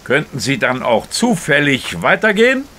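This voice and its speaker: An actor playing a bossy, irritated police investigator in a radio crime drama, curt and commanding. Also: male voice, a little high, wildly swooping pitch, normal speed, sharp tone, full character voice. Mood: curt, suspicious.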